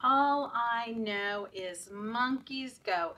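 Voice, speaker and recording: A woman leading story time for young children in a sing-song, deliberate, encouraging way, often asking the children questions. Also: a little high, sharp tone, far from the mic, highs cut off.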